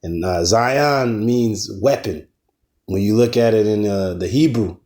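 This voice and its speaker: A man's voice: fairly low pitch, a little slow, a little mellow. A man teaching a casual religious lesson, preaching in a loose, slangy way with some cursing and emphatic rises on key points.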